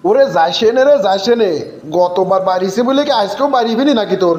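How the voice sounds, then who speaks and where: A man acts out a bossy young village pal for a comic cartoon, in a very high male voice. He teases and nags rapidly in slangy Bogura-accented speech, on a slightly dull recording. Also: a little mellow, lightly acted. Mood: teasing, egging on. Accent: Bogura, northern Bangladesh. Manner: slangy, nagging, teasing. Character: teasing young village drinking buddy, bossy but cautioning. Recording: dull lo-fi sound.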